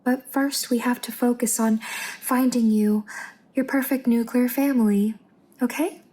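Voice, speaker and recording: A woman playing a sweet, devout angel rescuer in an ASMR roleplay, with a gentle, soothing and primly pious manner. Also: a little high, fairly even intonation, unhurried. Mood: soothing, tender. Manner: gentle, soothing, prim and pious, 1950s styled. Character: sweet, devout female angel rescuer tending a bunker survivor.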